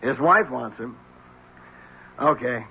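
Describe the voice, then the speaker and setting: An actor playing a dry, weary police desk lieutenant in a radio drama. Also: male voice, medium pitch, expressive, slow pace. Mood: dry, sardonic. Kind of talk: 1970s radio drama acting. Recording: dull, noisy old radio broadcast.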